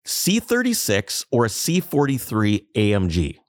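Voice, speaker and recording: Animated low-voiced man telling a story. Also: unhurried, dry recording, studio quality. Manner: animated storyteller, acts out quoted exchanges. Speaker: podcast host. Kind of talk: casual storytelling chat.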